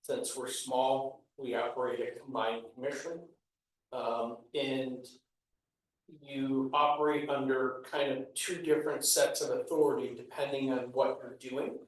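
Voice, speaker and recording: A man on a town commission explains land use procedure at a measured pace, with plenty of ums and you-knows. He sounds far from the microphone in a very echoey meeting room. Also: mid-range voice, flat delivery. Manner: measured, explanatory, many fillers. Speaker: commission member. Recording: echoey room, distant mic.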